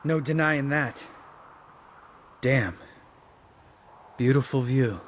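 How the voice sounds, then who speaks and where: An actor playing a younger monster hunter in a fantasy video game, cynical and bitter, with a blunt, sardonic manner. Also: male voice, medium pitch, extremely slow, slight background noise. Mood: teasing.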